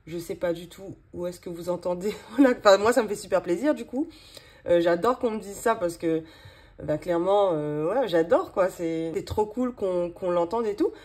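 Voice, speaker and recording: A woman's voice: fairly low pitch, normal speed, warm tone. A woman in her thirties with a regional French accent, chatting casually and rambling with many fillers while going through her monthly budget. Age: 30s. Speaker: budgeting vlogger. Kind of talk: casual chat while doing a cash-envelope budget.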